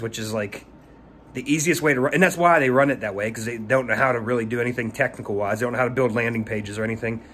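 A man's voice: medium pitch, fairly bright tone. Guy in his 30s rambling into his phone, lots of uh and like, small room, bit of faint hiss. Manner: rambling, repeats words, many fillers. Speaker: pressure washing business owner. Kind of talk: casual talking-head vlog. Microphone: phone at arm's length.

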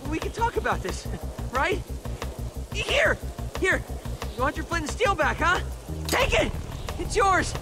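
A jealous young game villain with an extremely high, strained voice that swings wildly. He is hot-headed and indignant, given to shouting, and loud music runs behind him. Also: huge pitch swings, measured pace, long pauses, full character voice. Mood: panicked pleading. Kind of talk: video game voice acting.

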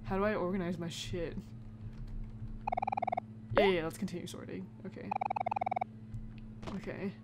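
A woman's voice: on the low side, fairly even intonation, unhurried, long pauses. A woman giving casual, reactive let's play commentary, reading game text aloud and adding informal asides. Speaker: gamer narrating a playthrough. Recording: heavy background noise, clicks.

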